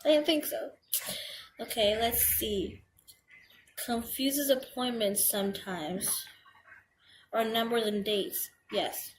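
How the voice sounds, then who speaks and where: A young woman with a girlish voice reads a checklist aloud and comments on it hesitantly, stumbling over words and filling pauses. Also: a little high. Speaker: vlogger. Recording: home video with clear background noise.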